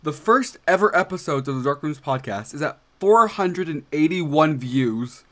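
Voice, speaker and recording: A man hosting a casual fan podcast over an online call, earnest and easily excited. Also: fairly high pitch, moderate pace. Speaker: podcast host. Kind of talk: casual chat.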